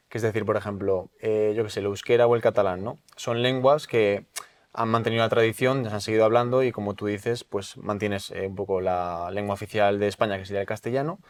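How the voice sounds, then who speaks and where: Hesitant low-voiced man hosting a podcast. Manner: hesitant, many "eh" fillers and restarts, rambling questions. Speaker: podcast host. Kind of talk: casual interview chat.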